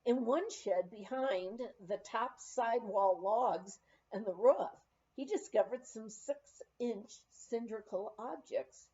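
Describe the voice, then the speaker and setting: A woman reading a memoir aloud as an audiobook narrator, in a measured storytelling manner on a dull, lo-fi recording. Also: on the high side, dark tone. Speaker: audiobook reader.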